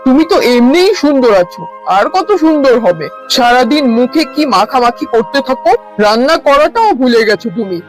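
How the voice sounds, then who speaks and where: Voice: sits high, animated, normal speed, hardly any pauses. Cartoon husband voice performer: fed-up villager, reproachful, grumbling domestic scolding, light music behind. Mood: exasperated. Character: exasperated village husband scolding his lazy wife. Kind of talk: cartoon dialogue.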